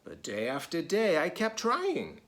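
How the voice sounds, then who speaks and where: A man voicing a wistful, anxious storybook egg who recounts his fall in an earnest, deliberate way. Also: high-pitched, wildly swooping pitch, a bit bright, voice pushed up, full character voice. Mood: wistful, determined.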